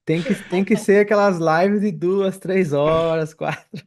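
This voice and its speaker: A man's voice: sits high, fairly even intonation, measured pace. A Brazilian man speaking casually as the co-host of a podcast.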